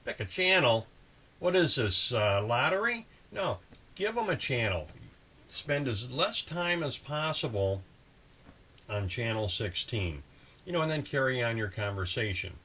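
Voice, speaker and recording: A man's voice: mid-range voice, unhurried. A man hosting a boating video, explaining procedures in a casual, conversational instructional style. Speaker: host of a boating channel. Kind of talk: casual instructional talk with example radio calls. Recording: clear steady background ambient noise.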